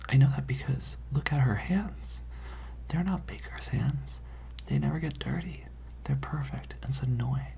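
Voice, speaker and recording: An ASMR performer playing a gossipy, dramatic high school student, rambling in a hushed, conspiratorial way. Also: a little slow, slight background noise. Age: teenage character. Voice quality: breathy whisper with creaky edges. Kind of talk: ASMR roleplay.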